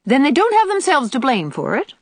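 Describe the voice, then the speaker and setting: A sour old country aunt, put on by a woman reader, pitched high and swooping. She nags and complains with biting sarcasm, as a storybook character who disapproves of everyone. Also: big pitch swings, normal speed, dry recording. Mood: needling. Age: older. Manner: disagreeable, sarcastic, nagging. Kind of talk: audiobook character voice.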